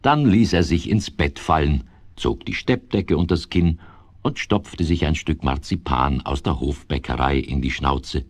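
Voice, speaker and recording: A man narrating a children's radio play like a storybook, with measured, dryly amused formality. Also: rumbling low, husky, no room sound, full character voice.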